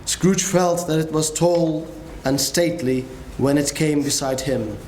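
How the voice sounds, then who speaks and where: A man narrating a classic story with grave, measured delivery in a dramatic reading. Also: on the high side, a little mellow. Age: young adult. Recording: echoey room, faint noise.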